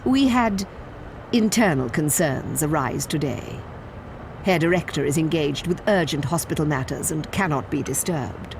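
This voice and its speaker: Low, creaky, officious hospital employee. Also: female voice, animated, normal speed, noisy background. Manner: formal, dismissive. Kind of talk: video game dramatic acting.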